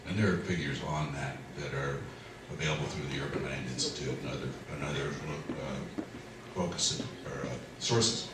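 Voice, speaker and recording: A man's voice: very deep voice, moderate pace, hardly any pauses. A man, a planning consultant, explaining figures at a public meeting in a loose, explanatory way with fillers. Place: community hall. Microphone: distant room mic. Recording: echoey hall from far off, noisy.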